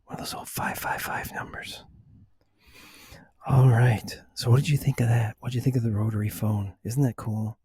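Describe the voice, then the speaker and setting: A man hosting ASMR, speaking to the listener in a hushed, breathy whisper and soft voice. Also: medium pitch, fairly even intonation, moderate pace, slight background noise. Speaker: ASMR video host.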